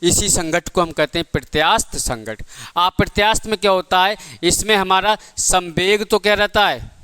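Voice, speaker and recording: Bright-voiced male physics teacher. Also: high voice, normal speed, clear, resonant tone. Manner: asks the class questions and answers them himself; calls listeners "beta" and "bhai". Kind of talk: exam-prep physics lesson.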